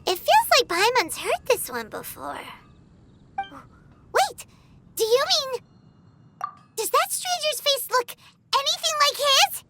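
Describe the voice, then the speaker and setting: A woman voicing a tiny, cheeky, childlike fairy companion in an English anime-style game dub, excitable in manner. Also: extremely high pitch, slow pace, pauses often, background noise, full character voice. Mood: excitable, puzzled. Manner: refers to herself in the third person, excitable.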